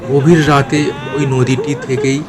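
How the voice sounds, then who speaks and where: A man telling a scripted horror story as narrator, in a measured, dramatic manner. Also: little pitch movement. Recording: clear noisy ambient rumble behind narration.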